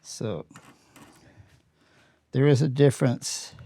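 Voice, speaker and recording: Slow-talking Canadian man with a mellow voice. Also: medium pitch, dry recording. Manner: unhurried, plain-spoken, matter-of-fact. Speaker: host of a workshop how-to channel. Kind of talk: casual hands-on demonstration, explaining steps while working.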